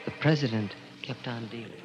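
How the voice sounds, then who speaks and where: An actor playing a haunted, thrill-addicted man who tells his own dark story in intense, confessional 1940s radio style. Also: mid-range voice, fairly quick, close to an everyday voice. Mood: grave suspense. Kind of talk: 1940s radio acting, first-person narration. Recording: dull old radio recording. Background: dramatic music.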